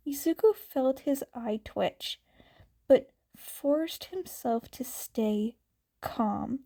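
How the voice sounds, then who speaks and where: A woman reading a fan fiction story aloud in a quiet, calm way. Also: high-pitched, extremely slow. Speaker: podfic reader.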